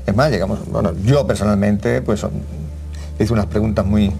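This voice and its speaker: Male interviewee, low and mellow, halting recollection. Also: fairly even intonation, creaky, faint music behind. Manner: halting, hesitant.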